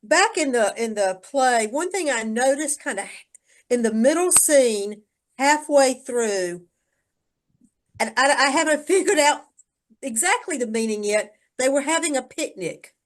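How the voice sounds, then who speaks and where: A woman's voice: sits high, expressive, a little slow. An older woman speaking casually in a group discussion, emphatic and repeating phrases to stress her point. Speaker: church discussion participant.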